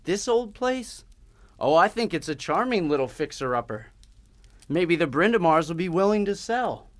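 An actor playing a wisecracking, upbeat young husband on honeymoon, in old-time radio drama style. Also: male voice, a little high, sing-song, normal speed, no echo. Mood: wry, teasing. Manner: jaunty, polite, wry.

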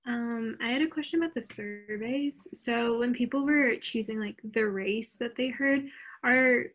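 A woman's voice: fairly high pitch, a little slow, fairly bright tone, low-quality recording. A young woman, a student, tentatively asking a question over a video call, unsure how to phrase it.

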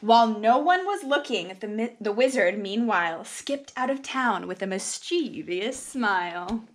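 A young woman with a high voice reads a picture book to small children. She is slow and sing-song, stretching words expressively like a preschool teacher. It is a home camera recording with a faint layer of noise. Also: expressive. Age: young adult. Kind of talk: animated read-aloud of a children's picture book.

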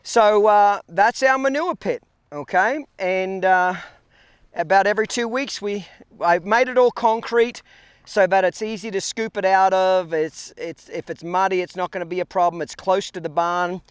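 High-pitched man in a casual vlog. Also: normal speed, clear, resonant tone, no echo. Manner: crude, joking, swearing freely. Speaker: vlog host, horse trainer. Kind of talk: casual walk-and-talk vlog. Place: outdoors at a barn yard. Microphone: handheld camera at arm's length.

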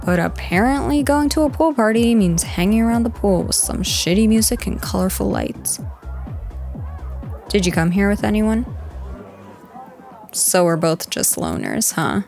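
A woman's voice: mid-range voice, big pitch swings, measured pace, creaky, pauses often, darkish tone. A woman playing a teasing young goth girl in an ASMR roleplay, talking casually and directly to the listener. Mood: wry, teasing. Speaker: roleplay voice performer. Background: background music.

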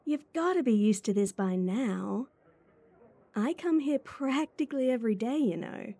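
A woman's voice: on the high side, lively intonation, unhurried, warm tone, husky, heavily acted. A woman voice actor playing a playful, teasing, clingy schoolgirl childhood friend in a chatty ASMR roleplay.

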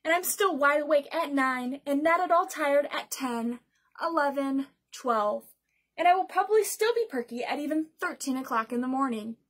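Woman as a high-pitched, stubborn storybook little girl. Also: lively intonation, normal speed. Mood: stubborn, perky. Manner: insistent, full of excuses.

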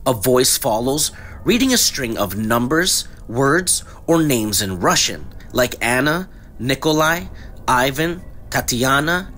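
A man reading scripted mystery documentary narration with measured, dramatic phrasing. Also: medium pitch, pushed and bright, slight background noise.

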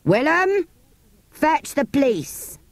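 A gruff English horse dealer from a storybook, acted out by a woman, sneers and bullies in working-class speech. He drags out his words mockingly, with big swings in pitch. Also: big pitch swings, no echo, exaggerated voice. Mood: menacing. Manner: mocking, bullying, drawn-out words.

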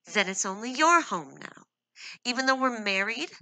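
Storybook: a wounded young wife standing her ground, read by a woman; strained, high, very bright and dry. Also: lively intonation, a little slow, no echo, heavily acted. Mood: hurt, defiant. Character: hurt, defiant young wife, fighting back tears.

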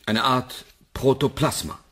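A man playing an easygoing scientist in a 1980s German sci-fi radio drama, with a wry, expressive manner. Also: medium pitch, unhurried, husky. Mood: grim.

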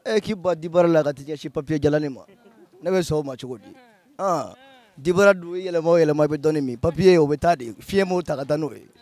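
A young man with a Malian accent, answering a street interviewer outdoors in an animated, insistent way. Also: fairly high pitch, a little fast. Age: young adult. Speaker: passer-by. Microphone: handheld held by interviewer.

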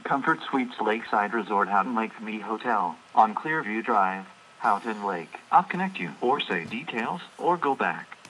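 A man's voice: fairly low pitch, big pitch swings, normal speed, few pauses, pushed and bright. A man's automated directory-assistance voice giving prompts over a telephone line. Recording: slightly noisy phone line through speaker.

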